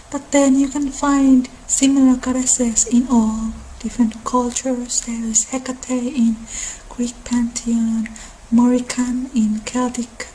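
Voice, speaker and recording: A woman hosting an informal ASMR-style talk in an unhurried, halting delivery. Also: fairly high pitch, dark tone, a little hiss or hum, low-quality recording. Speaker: video channel host.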